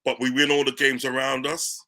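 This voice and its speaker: A man talking football on a podcast in an animated, casual way, often checking in with "you know what I mean". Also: mid-range voice, a little fast, a little hiss or hum. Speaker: podcast panelist, football fan.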